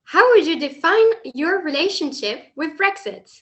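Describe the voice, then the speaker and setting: A woman's voice: very high voice, lively intonation, measured pace, a bit bright. A woman hosting an interview, putting prepared questions to her guest. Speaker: interviewer, host.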